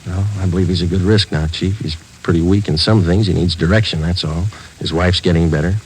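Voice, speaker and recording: An actor playing a terse police detective sergeant, speaking in a clipped, flat, matter-of-fact way in a 1950s radio drama. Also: male voice, deep voice, a little fast, a little hiss or hum, close to an everyday voice, poor audio. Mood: earnest. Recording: old radio drama with music.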